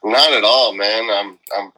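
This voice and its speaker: A man's voice: on the low side, a little slow, sharp tone, rough recording. A young man chatting as a podcast guest. He is easygoing and hesitant, laughs a lot and trails off mid-sentence. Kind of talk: casual chat.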